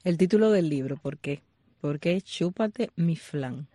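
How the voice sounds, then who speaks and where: A man's voice: fairly high pitch, animated, measured pace, mellow, dry recording. A man interviewing a guest, asking questions and giving short acknowledgments.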